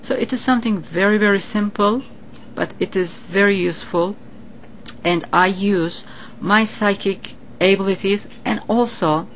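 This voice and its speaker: A woman's voice: mid-range voice, unhurried, a bit bright, a little hiss or hum. A woman from Turkey with a Turkish accent, earnestly explaining her psychic work as an interview guest. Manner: earnest, explanatory, with non-native grammar. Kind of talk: interview answers.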